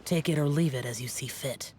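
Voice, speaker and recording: A man voicing a reserved, aloof immortal in an anime video game, measured, blunt and detached. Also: medium pitch, darkish tone, husky, close to an everyday voice. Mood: cool, reserved. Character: reserved, aloof male immortal with a cool temper.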